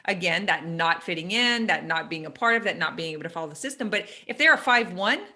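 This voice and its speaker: A middle-aged woman coach talking on a podcast, emphatic and quick, restarting her sentences as she goes. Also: lowish voice, expressive, pushed and bright. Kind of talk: casual podcast conversation.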